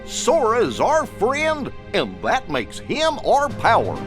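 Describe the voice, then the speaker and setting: A man's voice: high-pitched, wildly swooping pitch, slow pace, heavily acted. A man voicing a kind-hearted, loyal cartoon dog sidekick in a video game, with a dopey drawl. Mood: earnest. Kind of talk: animated game voice acting. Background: game music.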